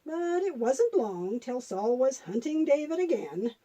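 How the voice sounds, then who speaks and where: Woman playing a patient granny puppet, high mellow voice. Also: big pitch swings, measured pace, exaggerated voice. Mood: patient, instructive. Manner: explanatory, patient, gently instructive. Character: patient grandmother puppet telling Bible stories. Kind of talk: children's Bible storytelling.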